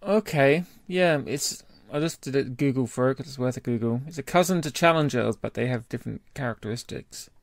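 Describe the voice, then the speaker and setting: A man co-hosting a podcast, giving a measured, analytical take in casual review chat. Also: medium pitch, gravelly, no room sound. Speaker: podcast co-host.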